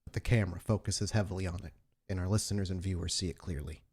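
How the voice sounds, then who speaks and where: A man's voice: sits low, fast talker, darkish tone, slightly rough. A man improvising narration as the storyteller of a tabletop role-playing game.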